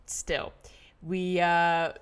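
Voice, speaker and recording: Young American woman host, relaxed and chatty, slow easygoing delivery full of "um"s, low-ish voice. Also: smooth. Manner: rambling, many fillers and laughs, relaxed storytelling. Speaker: livestream travel vlogger host. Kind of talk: casual chatty livestream monologue.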